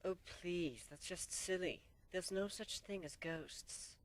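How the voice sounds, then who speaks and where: A man's voice: high voice, slow, voice pushed up. A man voicing a prim, skeptical young pony mare who talks in an exasperated, protesting way, in an amateur dramatic story reading.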